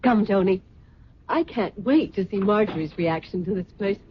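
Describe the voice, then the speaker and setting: An actress playing a bold, scheming middle-aged fashion designer in a radio drama, imperious and excitable, with theatrical breathy exclamations. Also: female voice, mid-range voice, moderate pace, a little hiss or hum, natural voice. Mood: eager, scheming. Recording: dull old radio recording, music behind.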